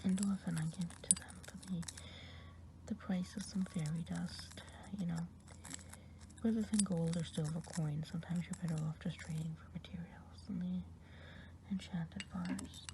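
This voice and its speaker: A woman playing a kindly good witch healer in an ASMR roleplay, speaking in a soft, soothing whisper. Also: on the low side, steady tone, moderate pace, background noise, natural voice.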